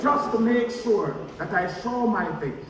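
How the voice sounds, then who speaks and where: Echoey and distant over an audience, a comic Jamaican preacher holds forth in a very high voice. The delivery is flowery, pompous and theatrical, in the broad manner of a stage pantomime. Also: male voice, expressive, unhurried, dull audio, a crowd in the background. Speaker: stage actor. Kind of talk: theatrical pantomime comedy performance.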